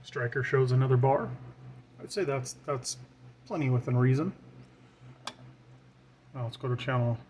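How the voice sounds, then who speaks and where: A man's voice: medium pitch, moderate pace, long pauses, darkish tone, dull audio. A man giving an informal hands-on tutorial as a hobbyist, thinking aloud while he works. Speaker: radio hobbyist demonstrating his equipment. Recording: clear background noise.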